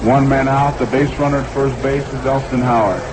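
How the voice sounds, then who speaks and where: Male baseball announcer, 1960s radio style, mid-pitched, clipped delivery, clearly noisy archival broadcast sound. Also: fairly even intonation, a little fast. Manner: short clipped phrases, repeats the count. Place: ballpark broadcast booth.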